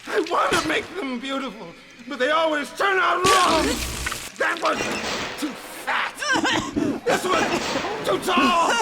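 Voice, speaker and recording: Shrieking, high-pitched mad surgeon. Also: male voice, raised voice, heavily acted. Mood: manic, anguished. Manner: shrieking, manic, drawn-out theatrical outbursts. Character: raving mad male surgeon, perfectionist villain. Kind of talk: video game villain performance.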